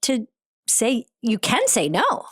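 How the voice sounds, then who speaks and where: A woman hosting a relaxed conversation podcast, quick to tease and joke with her guest. Her pitch moves a lot, rising and dipping through each question. She sounds like she is in her fifties. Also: slightly rough. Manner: playful, quick teasing questions and jokes. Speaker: podcast host. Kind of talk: casual interview chat.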